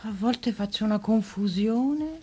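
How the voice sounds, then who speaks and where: A woman's voice: mid-range voice, unhurried, a little mellow, husky. A woman playing Clara, a flustered forgetful woman. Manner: chatty and fretful, exclaims "santo cielo". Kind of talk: radio drama acting.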